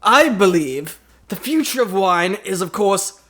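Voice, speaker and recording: A man playing a pretentious male sommelier in an improvised comedy sketch, speaking in a pompous, halting way. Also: high voice, very animated, pushed and bright, heavily acted.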